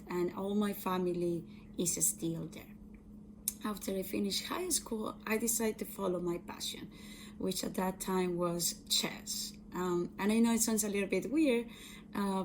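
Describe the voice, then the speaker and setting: Brief: woman in her thirties, Colombian accent, mid-pitched, earnest and halting, faint hiss behind. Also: poor audio. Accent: Colombian Spanish. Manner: earnest, frequent fillers and restarts. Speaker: software engineer and data scientist. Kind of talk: personal career talk to camera.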